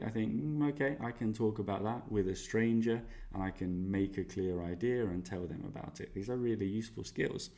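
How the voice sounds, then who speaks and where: Young male student, Spanish accent, cheerful, self-mocking, deep voice, put on by a man. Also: warm tone, lightly acted. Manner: upbeat, jokey, boastful asides. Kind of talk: role-played exam interview answers.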